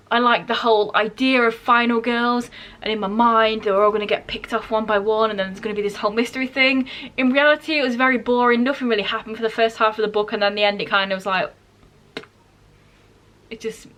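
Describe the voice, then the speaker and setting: A woman's voice: on the high side, fairly bright tone, a little hiss or hum. A woman vlogger chatting informally to her viewers, correcting herself and wandering into asides as she goes. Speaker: book vlogger, host. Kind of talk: casual chatty vlog talk to viewers.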